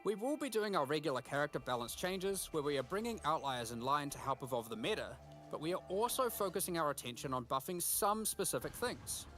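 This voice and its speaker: Lively man presenting game update announcements. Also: on the high side, fairly quick, few pauses, faint music behind. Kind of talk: scripted announcement video.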